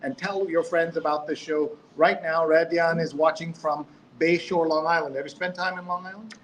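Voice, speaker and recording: A man's voice: fairly high pitch, steady tone, normal speed, a bit bright, slight background noise, low-quality recording. A man hosting a live talk show in a casual, chatty way, drifting into asides and reading out viewer comments.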